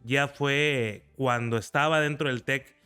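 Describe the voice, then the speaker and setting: Guy, early 40s, smooth clear voice, faint music behind. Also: mid-range voice, steady tone, slow, sharp tone. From Mexico. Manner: earnest, recounts with pride. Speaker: guest, federal deputy. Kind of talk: conversational interview.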